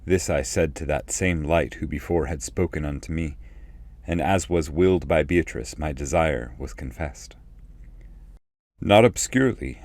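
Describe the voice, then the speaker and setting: A man narrating epic poetry as an audiobook reader, in a measured and solemn manner. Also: extremely low pitch, darkish tone, no room sound.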